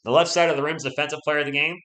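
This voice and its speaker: A man's voice: on the high side, steady tone, rapid-fire, fairly bright tone, reverberant. A man hosting a live fan play-by-play stream, chatty and loose, reading viewer comments and rooting for his team while losing his voice.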